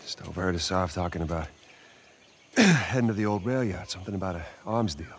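A man's voice: deep voice, expressive, brisk. An actor voicing a wisecracking middle-aged male adventurer in a video game, with an American accent and a colloquial, wry manner. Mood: casual. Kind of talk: video game cutscene acting.

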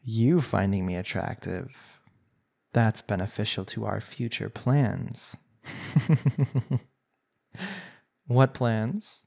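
A man's voice: lowish voice, creaky, a little mellow, full character voice. A man playing a smug, bratty villain who flirts with his captive in an intimate, condescending ASMR roleplay. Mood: vain, amused. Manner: teasing, condescending, unhurried pet-name sweet talk.

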